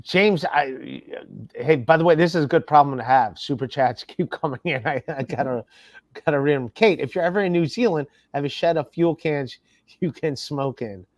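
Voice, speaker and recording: A man's voice: fairly high pitch, moderate pace, no room sound. A man hosting a podcast in casual, profane chat, rambling as he reads viewer comments aloud. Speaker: podcast host. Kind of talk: casual chat reading super chats aloud.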